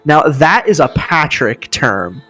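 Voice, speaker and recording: A man with a high voice co-hosting a casual podcast chat, explaining with enthusiasm and humming riff sounds. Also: sing-song, takes it slowly, over music. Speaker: podcast co-host.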